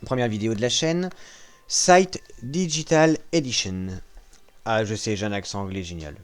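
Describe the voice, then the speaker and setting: A man casually walking viewers through a strategy video game, joking at his own expense and pausing often with fillers. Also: fairly low pitch, clean tone. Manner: self-mocking, hesitant with frequent fillers. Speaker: gaming channel host. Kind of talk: casual gameplay walkthrough.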